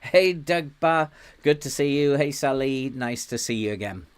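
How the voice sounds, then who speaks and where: A man live-streaming a management game, thinking aloud with frequent ums and soft chuckles while greeting viewers; he has a sore throat and is losing his voice. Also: mid-range voice, expressive, normal speed, clear, resonant tone.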